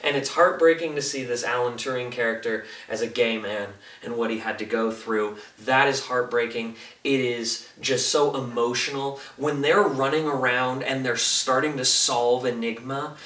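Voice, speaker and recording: A man's voice: medium pitch, fairly even intonation, moderate pace, few pauses, sharp tone. A man giving a casual, unscripted movie review as a video host, speaking enthusiastically and emphatically with informal slang. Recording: roomy echo, distant microphone.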